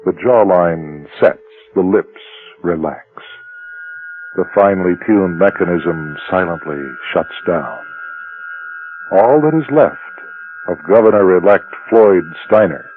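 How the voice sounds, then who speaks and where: A man narrating a radio drama in a dramatic, scripted style. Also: deep voice. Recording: narrow-band old radio recording.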